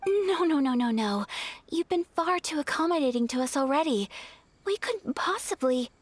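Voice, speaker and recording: An actress playing a shy, polite young noblewoman in a video game, formal and gently hesitant. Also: high voice, lively intonation, normal speed, no room sound. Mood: flustered.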